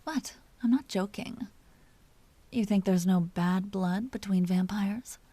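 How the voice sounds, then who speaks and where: Husky, whispery vampire duchess, acted by a woman. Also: medium pitch, takes it slowly, dry recording. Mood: serious, persuasive. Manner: soft, breathy, often dropping into whispers; teasing, possessive, imperious. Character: commanding, teasing vampire duchess, secretly tender. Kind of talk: intimate scripted audio roleplay.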